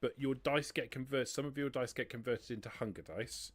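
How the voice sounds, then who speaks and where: A man running a tabletop roleplaying game as game master, explaining rules and narrating in halting, self-correcting phrases. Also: medium pitch, breathy edge. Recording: noisy hobby livestream audio.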